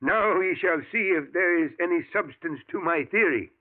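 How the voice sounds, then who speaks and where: A man's voice: high voice, normal speed, loud music behind the voice, lightly acted. A man playing a formal, professor. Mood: earnest. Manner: formal, stiff phrasing without contractions. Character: learned older male professor, formal, determined. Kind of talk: 1940s radio acting. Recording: tinny old radio broadcast.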